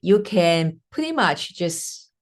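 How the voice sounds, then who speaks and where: A woman from Taiwan, a native Mandarin speaker with a Taiwanese Mandarin accent, hosting her podcast and telling her own story earnestly, with frequent "uh" pauses. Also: fairly low pitch, big pitch swings. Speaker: podcast host. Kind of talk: personal storytelling monologue.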